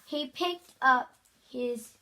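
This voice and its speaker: A woman's voice: sits high, extremely slow, pushed and bright. A female amateur reader reads a children's novel aloud with casual asides, stumbling over words and repeating them. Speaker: amateur reader hosting a read-aloud video.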